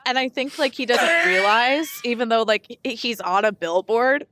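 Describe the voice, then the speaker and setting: A woman's voice: on the high side, expressive, moderate pace, fairly bright tone. A woman speaking as a podcast co-host in informal, conversational chat. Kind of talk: casual chat.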